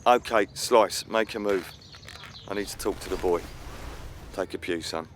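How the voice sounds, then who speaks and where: Actor as deep-voiced gang boss. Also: male voice, unhurried, a little hiss or hum. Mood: curt, menacing. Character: older gang boss, calm, curt, quietly menacing. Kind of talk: comic radio play acting.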